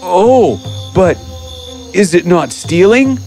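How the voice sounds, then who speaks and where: A man's voice: high voice, sing-song, takes it slowly, vocal fry, a little mellow, soft background music, voice pushed up, heavily acted. A man voicing a kind, timid, troubled schoolteacher in a storybook tale, hesitant and earnest. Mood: timid awe. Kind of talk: animated storybook character acting.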